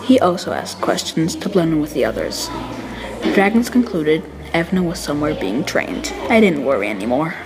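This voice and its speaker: A woman's voice: deep voice, very animated, normal speed, over music, rough recording. A woman reading scripted fantasy story narration as its narrator. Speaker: author and narrator.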